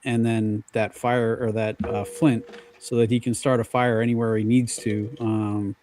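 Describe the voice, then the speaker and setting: A man chatting on a podcast panel, explaining things in an earnest, halting way. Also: on the low side, little pitch movement, a little mellow. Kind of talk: casual podcast chat.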